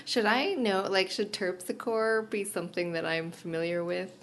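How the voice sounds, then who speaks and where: A woman's voice: mid-range voice, moderate pace. A woman co-hosting a podcast in casual, conversational chat with her fellow hosts. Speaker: podcast co-host.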